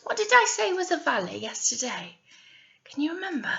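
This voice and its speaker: A woman teacher reading a picture story aloud to young children, drawing out key words and pausing to ask them questions. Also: sits high, very animated, gravelly, sharp tone, highs cut off. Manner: exaggerated, drawn-out words with questions to the listeners. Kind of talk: animated read-aloud storytelling with asides to children.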